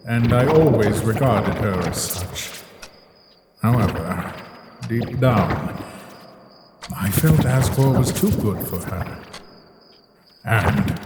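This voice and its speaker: A man's voice: lowish voice, very noisy. A man voicing a lonely, long-trapped scientist father in a comic dub, brooding and formal in his reflective monologues. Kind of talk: dramatic voice acting for a comic dub. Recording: roomy echo under loud music.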